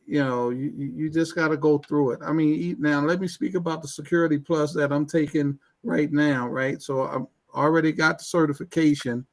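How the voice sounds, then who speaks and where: Man, guest, stammering, many restarts, slightly higher voice, casual chat. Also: fairly even intonation, brisk, hardly any pauses. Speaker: guest IT professional.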